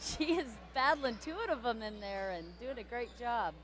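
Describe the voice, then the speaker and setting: A fairly high-voiced woman calls a high school basketball game with a chatty, friendly flow. She gets excited on steals and big plays and keeps up easy banter with her co-commentator. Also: moderate pace. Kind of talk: live play-by-play commentary.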